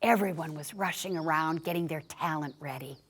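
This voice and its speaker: Low-pitched woman reading a story aloud. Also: brisk. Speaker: story reader. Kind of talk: reading a personal childhood memoir aloud.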